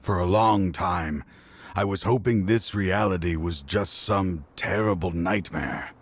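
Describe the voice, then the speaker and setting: An actor playing a proud, weary middle-aged father and former partner in a video game, with a theatrical, brooding, deliberate delivery. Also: low voice, husky. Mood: sorrowful, reflective. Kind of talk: dramatic video game voice acting. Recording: light music behind the voice.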